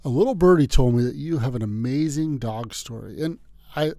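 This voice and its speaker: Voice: medium pitch, brisk, warm tone, dry recording. An interviewer asking a guest questions in a friendly, encouraging way.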